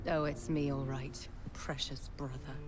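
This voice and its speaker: A woman with an English accent playing a vengeful, grieving undead vampire sister in a video game, mocking and unhinged, in a theatrical, drawn-out manner. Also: rumbling low, level tone. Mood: menacing, gleeful. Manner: taunting, dramatic, drawn-out.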